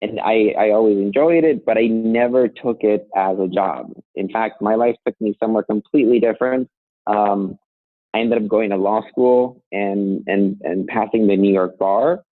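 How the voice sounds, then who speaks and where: A man in his thirties from Colombia, a guest talking casually about his work, relaxed and laughing lightly, often restarting phrases. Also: lowish voice, moderate pace, no room sound. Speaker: guest, software engineer. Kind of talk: casual conversational interview. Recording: tinny phone-like sound, dry.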